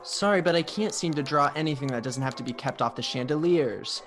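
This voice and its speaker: A woman's voice: low voice, fairly quick, faint music behind, lightly acted. A woman playing a wry, carefree college babysitter in a radio play. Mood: wry, breezy. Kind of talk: classic radio play acting.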